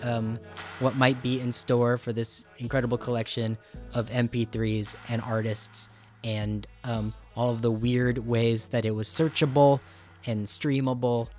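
A man's voice: medium pitch, little pitch movement, measured pace, a little mellow, faint music behind. A man hosting a casual conversational interview, talking in a rambling, enthusiastic and self-deprecating way. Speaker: radio show host.

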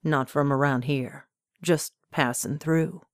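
A woman playing a polite, mysterious young man, softly and unhurriedly in a told story. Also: extremely low pitch, fairly even intonation, dry recording, studio quality. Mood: reserved. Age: young adult. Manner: soft-spoken, polite, unhurried.

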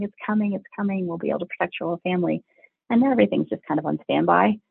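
A pediatrician answering interview questions with a hoarse, husky voice, earnest and explanatory. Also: male voice, fairly high pitch, no echo. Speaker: pediatrician guest. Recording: tinny phone line, dry.

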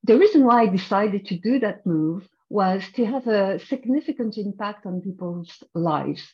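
A woman's voice: medium pitch, animated, normal speed, highs cut off. A middle-aged woman with a French accent, a guest coach explaining her ideas thoughtfully in a podcast interview, often restarting her sentences. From France.

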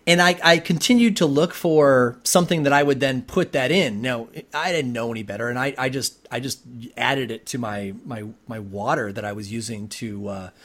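A man's voice: mid-range voice, normal speed, few pauses, fairly bright tone. A man hosting a conversational interview, talking in a wordy way with stammered restarts. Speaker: podcast host.

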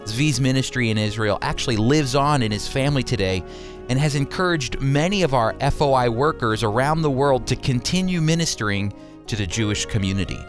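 A man's voice: medium pitch, lively intonation, fairly quick. Earnest male radio teacher, faint music. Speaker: radio host and Bible teacher. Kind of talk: radio ministry talk.

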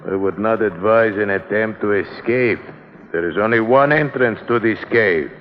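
An actor playing a cold-blooded smuggling boss, suave and mocking, in 1950s drama style. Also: male voice, lowish voice. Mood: mocking threat. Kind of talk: 1950s television drama acting. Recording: muffled, noisy vintage drama soundtrack.

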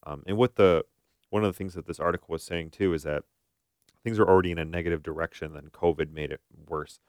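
Male co-host, extremely deep voice, dry close sound, reflective and conversational delivery. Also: fairly even intonation, quick pace, darkish tone, clear, resonant tone, dry recording. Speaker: podcast co-host, therapist. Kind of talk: casual conversational discussion.

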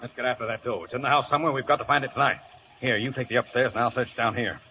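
An actor playing a menacing, bossy gangster boss in a 1930s radio drama, with a gruff, husky voice. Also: male voice, medium pitch, fairly even intonation, racing, sharp tone, a little hiss or hum, lightly acted. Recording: dull 1930s radio broadcast.

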